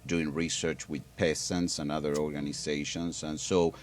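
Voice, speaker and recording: A man's voice: a bass voice, little pitch movement, moderate pace. A middle-aged man from Argentina, a guest on a public affairs program, explaining at length with hesitations and restarts. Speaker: guest expert.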